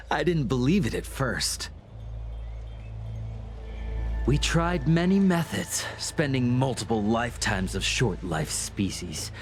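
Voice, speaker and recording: A man's voice: medium pitch, big pitch swings, unhurried. An actor playing a fanatical, unhinged cultist in a video game, gloating theatrically and savoring his words. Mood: fervent awe. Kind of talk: video game character acting. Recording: music behind.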